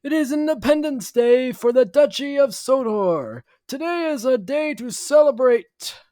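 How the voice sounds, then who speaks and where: A man's voice: very high-pitched, lively intonation, unhurried, a little mellow, dry recording, voice pushed up. A man playing a solemn male duke in an amateur dramatic reading, declamatory as he addresses his subjects. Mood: proclaiming.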